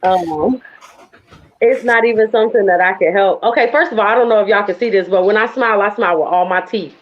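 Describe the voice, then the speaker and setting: Lively poet woman, lo-fi call audio. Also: medium pitch, brisk. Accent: New Orleans. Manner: lively, conversational, emphatic. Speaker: guest, spoken word poet. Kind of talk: casual interview talk. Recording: dull lo-fi audio.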